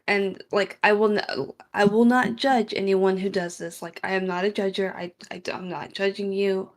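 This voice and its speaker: A woman on a discussion panel giving her opinions casually, repeating points for emphasis and pausing to find words. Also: mid-range voice. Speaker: panelist, voice actor.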